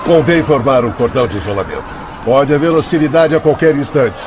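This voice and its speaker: A man's voice: medium pitch, animated, a little fast, noisy background, close to an everyday voice. Dignified commanding expedition chief, played by a man. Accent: Brazilian. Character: dignified authoritative male doctor leading a spirit rescue expedition. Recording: dull radio-drama recording under music.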